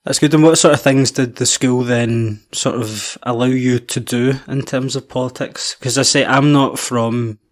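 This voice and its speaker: A man in his twenties hosting a podcast, reading a measured intro and then chatting friendly with his guest. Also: mid-range voice, fairly even intonation. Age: 20s. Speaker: podcast host. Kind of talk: scripted intro then casual interview.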